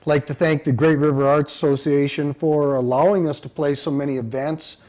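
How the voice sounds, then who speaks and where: A man in his fifties or sixties from central North Dakota, answering casually in an interview and often pausing mid-phrase. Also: a little high, level tone, darkish tone. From central North Dakota, United States. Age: 50s to 60s. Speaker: band member being interviewed.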